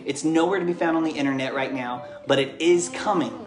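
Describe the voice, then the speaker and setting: A man in his thirties with an American accent, reading a bedtime story aloud and chatting casually with viewers, gentle and encouraging as if to a child. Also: fairly high pitch, brisk. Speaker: father and children's book author reading aloud. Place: living room at home, indoors. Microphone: camera or phone propped just beyond arm's length. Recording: echoey living room, music behind.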